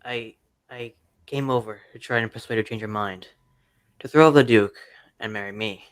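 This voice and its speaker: A man playing a lovesick, hot-headed young gentleman in an amateur radio mystery play. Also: fairly low pitch, normal speed, smooth, lightly acted. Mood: agitated.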